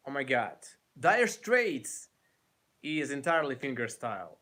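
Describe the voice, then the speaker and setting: A young man in his late twenties speaks English with a Ukrainian accent, talking to his audience through a webcam in a small indoor room. His pitch is a little high for a man and swings widely as he gestures and restarts sentences. He takes his time, speaking slowly and loosely. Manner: animated, gestures a lot, restarts often. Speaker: guitar YouTuber. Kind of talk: casual live stream chat.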